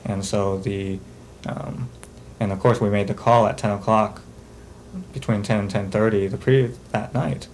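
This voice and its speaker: A man gives a casual interview account in a low, monotone voice. He rambles step by step through what was said and done. Faint noise runs under the amateur video sound. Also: a little slow, poor audio. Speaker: documentary interviewee.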